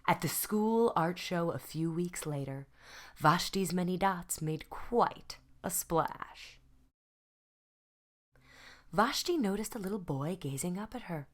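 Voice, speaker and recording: A woman narrates a children's picture book at a very unhurried pace. Her voice sits a little low and moves expressively, with deliberate phrasing. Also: lively intonation. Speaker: art teacher reading aloud.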